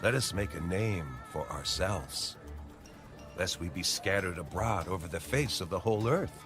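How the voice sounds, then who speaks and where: A low male voice from an actor plays an ambitious man of the ancient world rallying builders. The delivery is dramatized scripture, swinging widely in pitch. Music plays clearly underneath. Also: expressive, normal speed. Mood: bold, rallying. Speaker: voice actor in an audio Bible. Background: background music.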